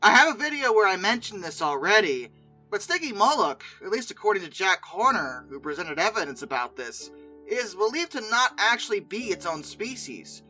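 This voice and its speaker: A host giving casual, opinionated commentary in an informal, chatty way, expressive and given to exasperated mock disbelief. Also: medium pitch, moderate pace, faint music behind, rough recording. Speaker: video host and narrator.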